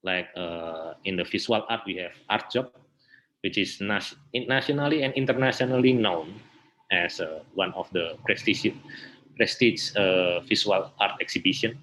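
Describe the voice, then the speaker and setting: Low-voiced Indonesian man, halting delivery. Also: animated, fairly bright tone, slight background noise, rough recording. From Indonesia, north coast of Java. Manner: hesitant, halting phrasing with repeated words. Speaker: interview guest, theatre artist and festival director. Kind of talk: informal interview answers.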